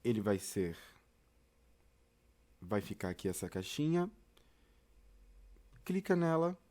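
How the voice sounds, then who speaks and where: Brazilian man with a mellow voice, teaching. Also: on the low side, sing-song, slow pace. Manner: conversational, addresses listeners directly with frequent check-ins. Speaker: instructor presenting a tutorial. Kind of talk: informal step-by-step tutorial.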